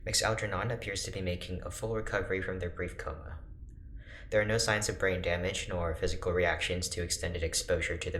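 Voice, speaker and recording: A man playing a reserved, formal doctor in an audio drama, speaking in a measured, precise, clinical way. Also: low voice, fairly bright tone, echoey room, slight background noise. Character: reserved, formal male doctor recording patient notes. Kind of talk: naturalistic audio drama acting.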